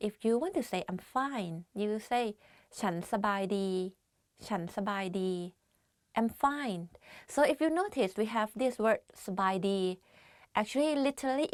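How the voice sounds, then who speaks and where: A woman's voice: fairly high pitch, no echo, clean studio sound. A woman with a Thai accent, teaching beginner phrases in a chatty way, often saying "like" and laughing. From Thailand. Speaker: language teacher. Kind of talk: casual language lesson.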